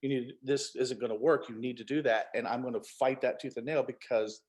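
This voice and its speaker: A man's voice: mid-range voice, fairly even intonation, fairly quick, a little mellow. Guy chatting in an interview, medium voice, wordy, kinda jokey, really leans on his points. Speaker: co-author being interviewed. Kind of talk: casual interview chat.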